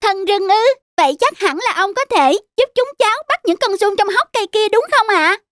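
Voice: very high-pitched, expressive, normal speed, a bit bright, dry recording, clean studio sound. An actor voicing a naive, eager little mynah bird with a childlike, trusting manner in a children's cartoon. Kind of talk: children's animated fairy tale dialogue.

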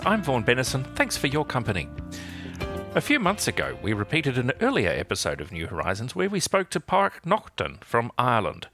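A man's voice: mid-range voice, bright, forceful tone, dry recording, clean studio sound. A man hosting a radio programme, reading a scripted introduction over music. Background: music under the voice.

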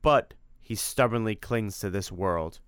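A man's voice: lowish voice, a little slow, smooth, no room sound, studio quality, natural voice. A man voicing a grave, hot-tempered male monster hunter with stiff, old-fashioned formal phrasing. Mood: serious.

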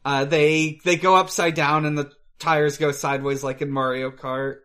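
A man co-hosting a podcast, casually recapping a film in a halting way, searching for words. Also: a little high, flat delivery, a bit bright. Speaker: podcast co-host.

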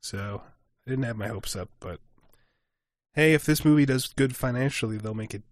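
A man co-hosting a podcast in casual chat, animated and acting out quick asides. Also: medium pitch, no room sound, studio quality. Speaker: podcast co-host.

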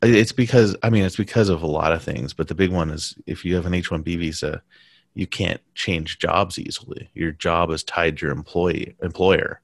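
A man talking casually in a conversation, pausing and restarting his sentences often. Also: deep voice, fairly even intonation, creaky, no room sound. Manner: halting, many restarts and fillers.

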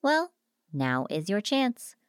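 Here is a sly, courteous machine from a science fiction story, acted out by a woman. It speaks formally and with measured care, teasing as it goes. The pitch moves a great deal and the sound is dry. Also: very animated, clean tone, no room sound.

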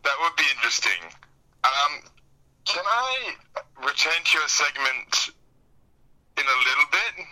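A man's voice: on the high side, very animated, a little slow, pauses often, pushed and bright. A man chatting casually as a guest on a tinny phone call. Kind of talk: casual chat. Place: on a phone, parked car.

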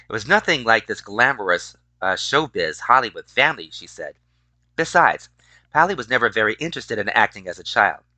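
A man's voice: mid-range voice, bright, forceful tone, dry recording, poor audio. A man reading a feature article aloud, often stumbling and restarting words. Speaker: reader of a news article.

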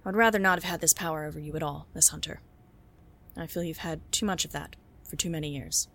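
A woman playing a dry, low-voiced noir detective. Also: fast talker, fairly bright tone, a little hiss or hum. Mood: cool. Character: sharp-eyed woman private detective, dry and composed. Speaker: detective and narrator. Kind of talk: noir audio drama acting.

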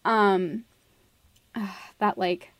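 A woman's voice: medium pitch, fairly even intonation, drawn-out words, no echo, poor audio. A woman co-hosting a podcast, giving her opinions casually in a frank, emphatic way. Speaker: podcast co-host.

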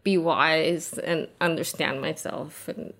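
A woman's voice: deep voice, steady tone, slow pace, gravelly, fairly bright tone. A woman, a photographer giving candid, reflective interview answers with a wry, easy laugh. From Argentina. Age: 50s.

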